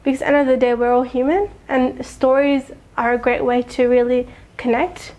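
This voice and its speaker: A young woman with a high, light voice speaks in a reflective interview. She is earnest and thoughtful, and she pauses often to find her words. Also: steady tone. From Afghanistan. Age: young adult. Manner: thoughtful, earnest, with soft laughs and frequent ums. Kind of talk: reflective personal testimony.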